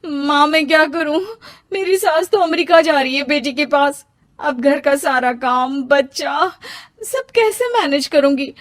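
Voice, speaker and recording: Overwhelmed young mom woman acting, high fretful pleading voice, cartoon story, dry sound. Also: measured pace, no echo. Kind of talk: animated moral story acting.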